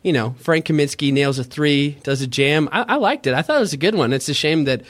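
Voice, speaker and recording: Excitable young man with a squeaky voice. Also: quick pace. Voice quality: high squeaky voice. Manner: excitable, cheers at the game, jokey. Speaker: podcast co-host. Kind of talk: casual fantasy sports podcast chat.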